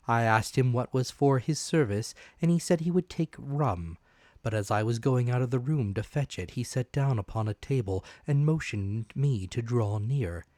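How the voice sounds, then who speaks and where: A man giving a dramatic, deliberate audiobook narration of a classic adventure tale, in expressive storytelling style. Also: mid-range voice, dry recording, studio quality.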